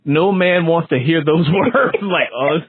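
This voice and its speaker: A man's voice: fairly high pitch, breathy edge, narrow, tinny audio. A man hosting an interview in a casual, conversational way, explaining things and acting out quoted lines as he goes. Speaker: interview host.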